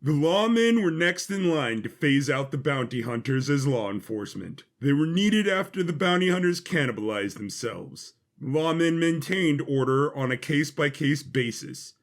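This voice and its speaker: A man reading scripted fiction as the narrator of an audio story. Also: fairly high pitch.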